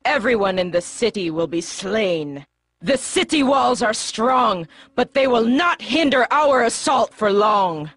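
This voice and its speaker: A woman voicing a fierce, bloodthirsty warrior of a savage army in a video game, husky, taunting and commanding. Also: on the high side, animated, measured pace, a bit bright, dry recording, exaggerated voice. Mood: threatening. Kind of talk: video game cutscene acting.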